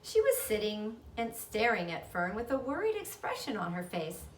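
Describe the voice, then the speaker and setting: A woman narrating a children's storybook aloud with expressive delivery. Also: medium pitch, normal speed, slight background noise. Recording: echoey room, distant mic.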